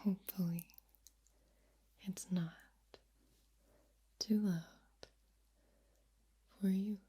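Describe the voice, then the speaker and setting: A woman doing soft-spoken ASMR, mostly in a breathy whisper, deliberately drawing out and repeating words close to the microphone. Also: medium pitch, steady tone. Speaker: ASMR host. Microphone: close, sensitive microphone.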